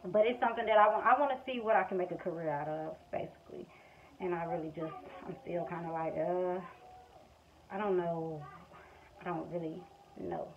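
A young American woman from Missouri talks to camera in a frank, colloquial, off-the-cuff way. Her voice sits a little low. The home phone recording is somewhat dull, with faint household noise behind her. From Missouri, United States. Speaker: vlogger, stay-at-home mother. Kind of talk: casual off-the-cuff chat to camera. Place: small room at home, indoors. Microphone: phone at arm's length or propped nearby. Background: household background noise.

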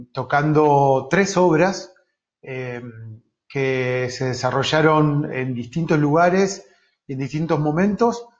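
A middle-aged man with an Argentine accent from Rosario gives an online architecture lecture in a halting, reflective way with long pauses. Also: on the high side. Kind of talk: online lecture on own work. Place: small book-lined room indoors. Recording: dull, lo-fi web-call audio.

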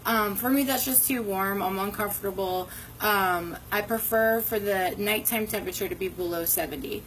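A woman's voice: mid-range voice, normal speed, a bit bright, a little hiss or hum, rough recording. A woman in her thirties hosting a casual camping workshop livestream, talking conversationally with frequent ums and restarts, filmed on a phone outside on a porch. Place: covered porch outdoors by a brick wall. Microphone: phone camera at arm's length.

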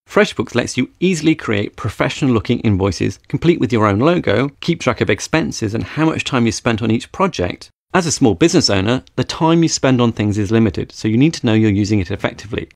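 Male podcast host, thirties, British, mid-pitched, very dry close sound. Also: dry recording. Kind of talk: casual tech podcast chat, scripted sponsor read. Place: small home studio room.

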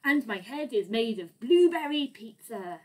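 Boastful nonsense-singing robot monkey for a storybook, played by a woman, theatrical, high, wildly animated. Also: measured pace. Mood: silly, proud. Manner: theatrical, exaggerated, playful.

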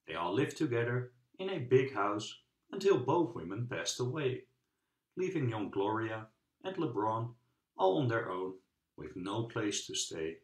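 Male storybook narrator, very varied intonation, measured dramatic phrasing, echoey room. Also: mid-range voice, sing-song. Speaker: storybook reader. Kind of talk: children's book read-aloud narration. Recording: roomy, echoing sound.

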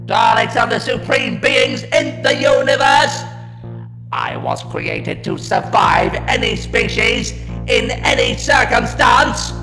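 A merciless alien war machine with a very high, electronically filtered voice. It barks slow, staccato commands with imperious contempt. The sci-fi drama sound is echoey. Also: male voice, lively intonation, heavily acted. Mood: contemptuous. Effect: harsh robotic ring-modulated filter. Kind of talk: sci-fi audio drama acting. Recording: echoey, music behind.

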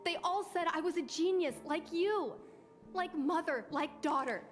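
A woman's voice: very high-pitched, measured pace. A woman voicing a headstrong, defiant teenage daughter in an anime-style video game, earnest and protesting. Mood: hurt, defiant. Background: game music.

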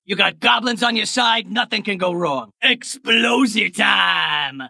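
A man voicing a brash, greedy, wisecracking male goblin in a video game, gravelly and sharp, like a fast-talking wise guy. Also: high-pitched, no room sound, clean studio sound, exaggerated voice. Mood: excited, battle-hungry. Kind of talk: video game character voice lines.

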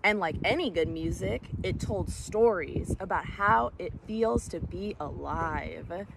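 A woman's voice: on the low side, slow pace. A young adult woman reading a children's story aloud as a storytime host, recorded outdoors on a camera a short distance away. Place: outdoors in woods by a creek. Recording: clear outdoor background noise, clicks.

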